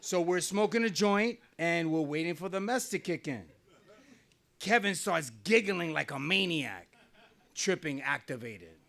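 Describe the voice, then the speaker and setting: A man's voice: fairly high pitch, lively intonation, a little slow, a bit bright. Wry middle-aged man telling a story. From New Jersey, USA. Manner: wry, conversational, often laughing. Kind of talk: live personal storytelling. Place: small venue, live on stage.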